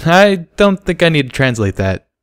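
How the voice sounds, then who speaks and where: Storybook adult mare, played by a man: raised pitch, casual, easygoing, playful. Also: big pitch swings, moderate pace, a bit bright, clear, resonant tone, no room sound, clean studio sound.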